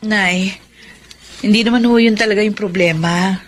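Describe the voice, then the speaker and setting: An actress with a Filipino accent playing an ambitious, hesitant young factory worker in a radio drama, speaking in an emphatic, conversational way. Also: female voice, medium pitch, normal speed, close to an everyday voice. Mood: earnest, conflicted. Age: young adult. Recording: faint background noise.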